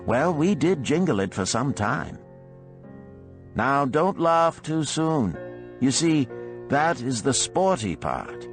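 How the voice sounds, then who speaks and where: A man narrating an audiobook of parables and letters in a measured, expressive storytelling manner. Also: mid-range voice, faint music behind.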